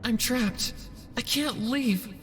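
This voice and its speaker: A man voicing a frightened, gentle female doll in a story, pleading in a soft voice. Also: very high-pitched, slow pace, in a large hall, soft background music, voice pushed up, exaggerated voice. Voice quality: soft, passive voice.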